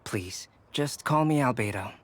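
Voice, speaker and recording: Man acting as cultured young game alchemist, calm, measured, politely formal, mid-pitched, faint background noise. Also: close to an everyday voice. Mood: gracious, thoughtful. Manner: measured, courteous, formal phrasing.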